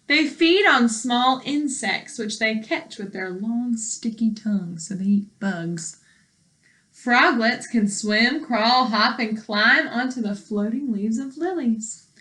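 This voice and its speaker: A woman teacher reading a book aloud to young children, deliberately, with explanations and questions for her listeners. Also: on the high side, bright, forceful tone. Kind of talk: read-aloud lesson with commentary and questions.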